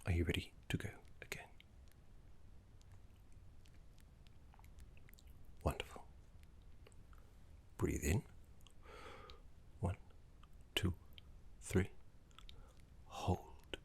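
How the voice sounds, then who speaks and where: A man voicing a gentle, courteous, scholarly older necromancer teacher in a soft-spoken, encouraging ASMR roleplay, with a breathy whisper. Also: slow, long pauses, full character voice. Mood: soothing, encouraging. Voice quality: breathy, creaky low whisper. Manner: soft-spoken, genteel, encouraging, slightly fussy.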